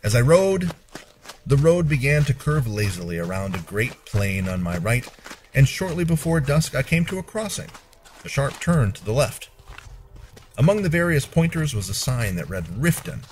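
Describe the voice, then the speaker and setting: A man narrating a first-person fantasy story in a measured, expressive storyteller's delivery. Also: medium pitch, slight background noise.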